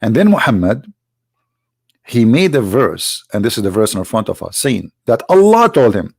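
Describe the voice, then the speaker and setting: A man's voice: sing-song, moderate pace, creaky, breathy edge, dry recording, clean studio sound. Mocking male polemicist with a cold. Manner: mocking, rhetorical, swings between loud emphasis and low asides. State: slight cold. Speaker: host of a religious debate channel. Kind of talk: polemical livestream talk.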